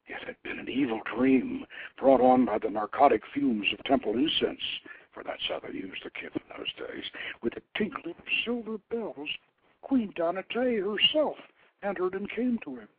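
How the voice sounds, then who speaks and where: An older man reading a tale aloud in a solemn, theatrical, measured way, as a host telling a story. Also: on the high side, gravelly, slightly rough. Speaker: show host reading his own novelette. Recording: phone-like radio line with background noise.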